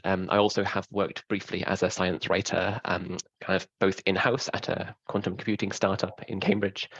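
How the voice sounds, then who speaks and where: The informal, hedging man in his thirties on a work video call, gravelly voice coming through slightly dull. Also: low-pitched. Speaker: journal editor, panelist. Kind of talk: casual self-introduction. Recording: dull, muffled call audio.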